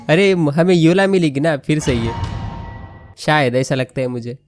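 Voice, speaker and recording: A man's voice: fairly high pitch, rapid-fire. A man with an Indian accent giving casual, joking gameplay commentary as a gaming host. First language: Hindi. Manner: informal, joking, mixes Hindi with English. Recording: game music behind commentary.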